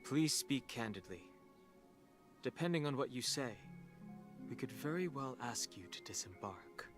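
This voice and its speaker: A man's voice: medium pitch, lively intonation, measured pace, close to an everyday voice. An actor playing a reserved, serious young male guard in a video game, terse and formal, with music behind him. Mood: wary, stern. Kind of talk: video game story dialogue.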